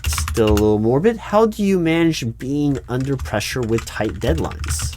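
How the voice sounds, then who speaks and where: Man playing halting recruiting manager, typing behind. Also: mid-range voice, natural voice. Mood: thoughtful, approving. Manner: hesitant, stammering, politely formal. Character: polite, awkward male corporate recruiting manager. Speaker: recruiting manager interviewing a job applicant. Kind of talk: one-sided audio roleplay, loud spoken ASMR. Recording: steady background noise, keyboard typing. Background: computer keyboard typing.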